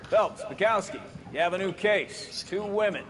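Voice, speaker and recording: A man's voice: high-pitched, moderate pace, a crowd in the background, close to an everyday voice. A man acting a brash police boss giving out assignments in a video game drama. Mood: brisk, wry. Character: brash male police boss handing out cases.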